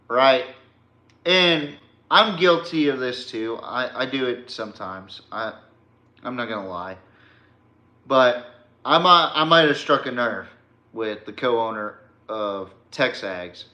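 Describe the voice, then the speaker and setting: Blunt man with bright, echoey podcast sound. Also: medium pitch. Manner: blunt, mocking, profane, halting with repeats. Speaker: podcast host. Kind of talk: opinionated sports podcast rant, informal.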